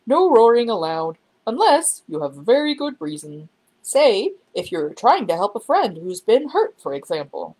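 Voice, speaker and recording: A woman's voice: fairly high pitch, sing-song, normal speed, low-quality recording. Woman acting a fussy, emphatic male librarian. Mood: gentle, awkward. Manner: emphatic, indignant, loud exclamations. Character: fussy, officious male librarian, rule-bound and easily flustered. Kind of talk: storybook read-aloud character.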